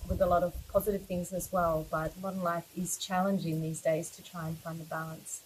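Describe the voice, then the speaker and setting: Gentle Australian woman, fairly low voice. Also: background noise. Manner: gentle, earnest, with soft fillers. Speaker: yoga teacher. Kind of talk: personal message to camera.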